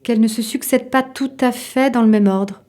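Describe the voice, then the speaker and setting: A woman narrating a literary audio drama in an intimate, measured way, with a soft voice. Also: on the high side, fairly even intonation, close to an everyday voice. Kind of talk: literary second-person narration in audio fiction.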